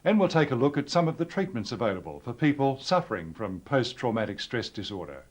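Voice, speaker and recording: Australian male presenter with lively intonation. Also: medium pitch, noisy background. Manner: measured, explanatory. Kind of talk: scripted educational narration.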